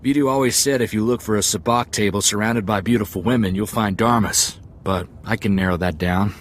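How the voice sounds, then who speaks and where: An actor playing an eager, friendly gunslinger sidekick in a video game, with an easygoing, folksy way of talking. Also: male voice, on the low side, fairly quick, slight background noise, lightly acted. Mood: confident.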